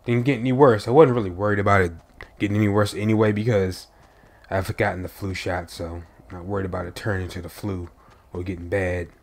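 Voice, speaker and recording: A man's voice: sits low, steady tone, a little fast. A young man with a stuffy, nasal voice from a cold, chatting to viewers while he plays. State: congested, recovering from a cold. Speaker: gaming channel host. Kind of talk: casual gameplay commentary.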